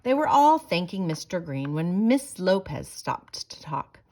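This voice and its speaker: A woman narrates a children's story aloud. Her voice sits a little low but moves around a lot, with expressive, deliberate phrasing made for young listeners. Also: a little hiss or hum. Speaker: storybook reader.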